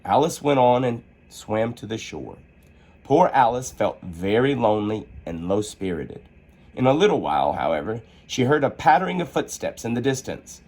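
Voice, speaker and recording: A man reading a children's storybook aloud as an expressive storyteller. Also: medium pitch, normal speed.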